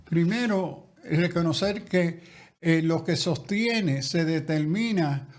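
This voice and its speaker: Slow, careful pastor explaining theology. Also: male voice, on the high side. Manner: slow, careful, lecturing with restarts. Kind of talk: explanatory interview answers.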